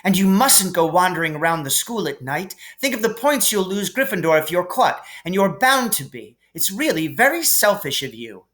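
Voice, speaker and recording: A man's voice: sits high, very animated, normal speed, hardly any pauses, a bit bright, pitched above the performer's own voice. A man voicing a bossy, disapproving schoolgirl in a prim, scolding storybook read-aloud.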